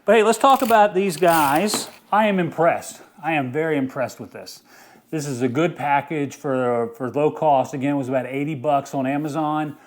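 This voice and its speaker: A man's voice: a little high, moderate pace. A middle-aged man hosting a casual outdoor gear review in an informal, enthusiastic way. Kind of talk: casual product review vlog.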